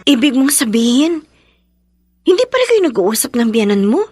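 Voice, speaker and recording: Husky, probing confidante. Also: female voice, fairly high pitch, big pitch swings, normal speed, darkish tone, dry recording, clean studio sound, soft background music. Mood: concerned, probing. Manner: questioning, concerned. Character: concerned, probing woman confidante of the heroine. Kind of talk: radio drama acting.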